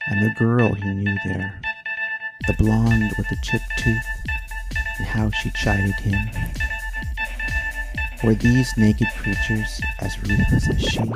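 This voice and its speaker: A man narrating an old-time radio adventure in a theatrical, grandiose manner with dramatic pauses. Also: on the low side, vocal fry. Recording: narration over guitar music. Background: easy listening guitar music.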